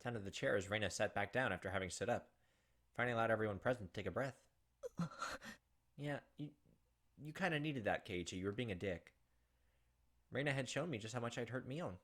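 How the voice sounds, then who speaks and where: A man's voice: lowish voice, brisk, long pauses, fairly bright tone. A man hosting a gaming video, reading a visual novel aloud and adding casual commentary over game music. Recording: music behind the voice.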